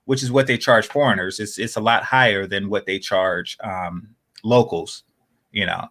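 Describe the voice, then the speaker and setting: A man's voice: steady tone, moderate pace. Rambling man in casual podcast chat. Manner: rambling, many fillers and restarts; drops into low, quiet asides.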